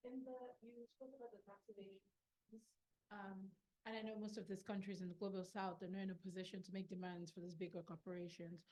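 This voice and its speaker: A woman's voice: mid-range voice, fairly even intonation, fairly quick, mellow, poor audio. A woman in the audience asking the speaker a question, casual and thinking aloud as she goes.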